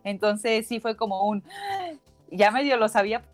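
A Mexican woman in her twenties answering interview questions and retelling events in a lively, casual way. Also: medium pitch, moderate pace, faint music behind. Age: 20s. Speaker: athlete guest.

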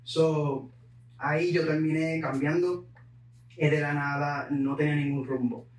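A man from Puerto Rico with a Puerto Rican accent, a podcast guest telling his life story in an unhurried way, slipping English words into his Spanish. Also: on the high side, level tone, reverberant, far from the mic, rough recording. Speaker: guest entrepreneur. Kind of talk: conversational storytelling.

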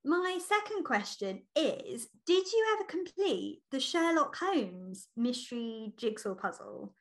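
Female podcast co-host, high and very lively, conversational and expressive. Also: measured pace. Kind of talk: casual chat between friends.

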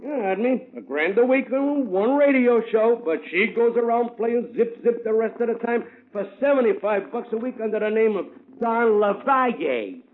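A pushy male talent agent rattles off slang in a high, swooping voice. He sounds excitable and wheedling. The sound is tinny and narrow, like an old radio broadcast. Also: moderate pace, few pauses. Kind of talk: 1950s radio drama acting.

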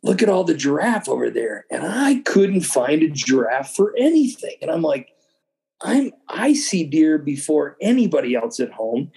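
A man's voice: on the high side, sing-song, normal speed, creaky, a little mellow. A man chatting casually as a podcast guest, telling anecdotes and acting out bits of dialogue as he goes. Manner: acts out quoted dialogue, conversational.

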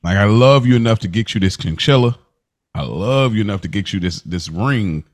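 A man co-hosting a casual podcast, rambling and animated as he acts out scenes from the show. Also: lowish voice, normal speed. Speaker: podcast co-host. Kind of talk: casual chat.

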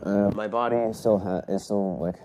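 A man's voice: lowish voice, fairly even intonation, normal speed, warm tone, a little hiss or hum. Congested man rambling through a podcast. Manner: rambling, self-correcting, many fillers. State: congested, recovering from a cold, coughing. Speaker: podcast host. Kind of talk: casual solo podcast storytelling.

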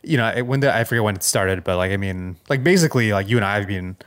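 A man's voice: fairly low pitch, expressive, fast. A man co-hosting a podcast, chatting casually in a hesitant, rambling way. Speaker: podcast co-host.

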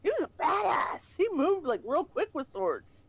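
A woman appearing as a guest on a podcast who chats casually, in an animated and slangy way. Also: sits high, a little slow, lo-fi sound.

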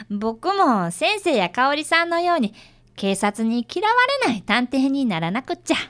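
Woman playing young boy, high and very animated, polite, earnest, apprentice detective, radio drama. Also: a little slow. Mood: sincere. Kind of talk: radio drama acting with first-person narration.

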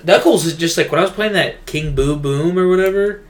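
A man's voice: on the high side, a little fast. A man co-hosting a laid-out, casual chat about video games, talking like an enthusiastic fan with lots of filler "like". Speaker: podcast co-host.

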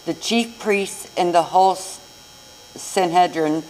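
A woman's voice: on the low side, slow pace, a little mellow, rough recording. A woman narrating a scripted scripture reading in church. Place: inside a church.

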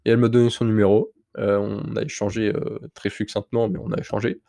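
Low, gravelly man with a cold. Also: level tone, fast talker, dark tone, no room sound, studio quality. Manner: candid, rambling storytelling addressed to the listener. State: slightly stuffy from a cold. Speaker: podcast host. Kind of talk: casual solo podcast monologue.

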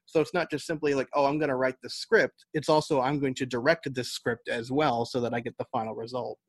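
An American man is being interviewed and explains his work in a conversational way. His voice sits at a middling pitch with very little room sound. He thinks his points through aloud as he goes. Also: fairly even intonation, fairly quick, dry recording. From United States. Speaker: podcast guest, novelist and audio drama writer.

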